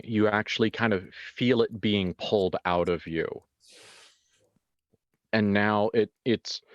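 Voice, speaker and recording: A man improvising horror narration as a tabletop game master, halting and informal as he searches for words. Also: on the low side, no echo, highs cut off.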